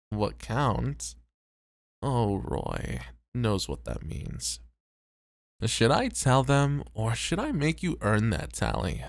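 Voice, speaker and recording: A man playing a tired, popular host and teasing flirt in an ASMR roleplay, with relaxed banter. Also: mid-range voice, creaky, no echo, clean studio sound, full character voice. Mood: teasing, flirty.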